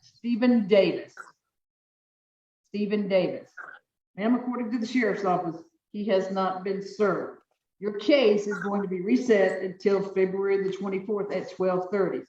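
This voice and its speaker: A deep-voiced woman judge runs her calendar firmly and briskly, repeating instructions to each party. Her voice sounds distant and roomy, with dull, muffled video-call audio. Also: fairly even intonation, a little mellow. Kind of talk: presiding over virtual court hearings.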